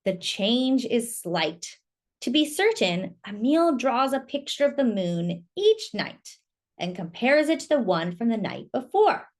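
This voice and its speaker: A woman storyteller reading a picture book aloud to children, pausing to ask listeners questions and comment on the pictures. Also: a little high, sing-song, darkish tone.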